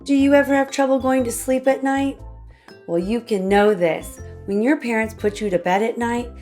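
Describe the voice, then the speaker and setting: A woman's voice: fairly high pitch, steady tone, normal speed, dark tone, soft background music. A woman hosting a read-aloud, telling a picture-book story expressively for young children. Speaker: children's storybook reader and channel host.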